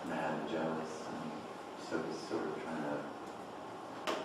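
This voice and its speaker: A man's voice: rumbling low, monotone, takes it slowly. A man offering short, tentative suggestions in fragments during a casual group discussion. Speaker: participant in play reading discussion. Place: echoey room, group table read. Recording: echoey, noisy room, distant mic.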